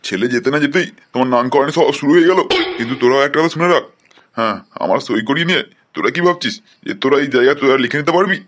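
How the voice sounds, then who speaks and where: A man's voice: mid-range voice, animated, racing, a bit bright. A man playing a stern, indignant old grandfather who scolds his family in a comedy cartoon. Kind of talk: adult comedy cartoon dialogue in Bengali.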